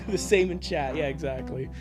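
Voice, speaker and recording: A man's voice: fairly high pitch, moderate pace. Sarcastic male streamer over music. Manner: sarcastic, swearing. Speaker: streamer reading fan fiction aloud. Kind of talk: casual live-stream chat.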